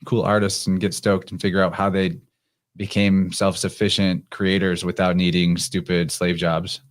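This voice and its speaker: A man's voice: deep voice, level tone, moderate pace. A man chatting casually as a podcast host, laid-back and using plenty of slang. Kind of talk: casual chat.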